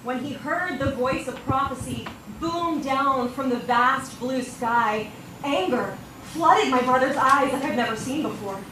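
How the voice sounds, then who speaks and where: A woman playing a grieving queen who tells of her brother's cruelty in a solemn, measured stage monologue. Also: high voice. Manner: solemn and measured, building in intensity. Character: grieving young queen Devaki recounting her suffering. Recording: very echoey hall from far off, noise.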